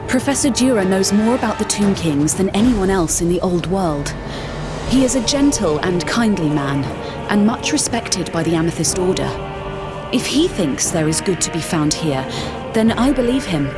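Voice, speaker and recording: An actress playing an earnest, composed young female scholar and mage in a game, explaining in a measured way. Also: medium pitch, expressive, lightly acted. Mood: resolute. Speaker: expedition scholar. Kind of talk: game cutscene voice acting. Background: game music.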